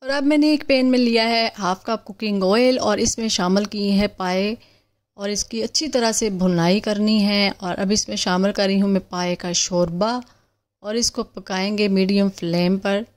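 A woman hosts a home cooking channel and narrates the recipe over the footage. She talks calmly and explains each step in order, like an everyday host. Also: medium pitch. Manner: calm step-by-step instructions.

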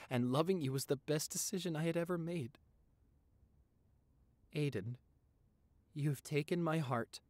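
A man playing a loving, sentimental vampire boyfriend in a romantic audio roleplay, speaking tenderly and haltingly with open emotion. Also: medium pitch, no room sound, lightly acted. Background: background music.

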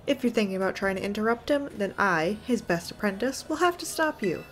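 A woman's voice: medium pitch, animated, a little fast, lightly acted. A woman voicing a brash, boastful young male apprentice. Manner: brash, taunting.

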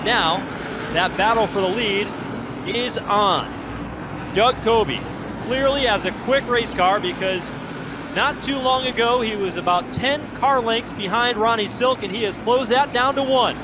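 A man calling live play-by-play for a stock car race, with excited, rapid race calling. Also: very high-pitched. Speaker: race commentator. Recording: loud race-car noise underneath. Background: roaring race engines.

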